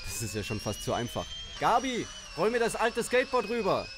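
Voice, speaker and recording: Male actor as heroic boy sleuth, cocky and bossy, quick delivery, slight noise. Parody audio play. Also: high voice, huge pitch swings. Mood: smug. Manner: assertive, bossy. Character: cocky heroic boy detective, tough and self-righteous. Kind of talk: audio drama parody acting.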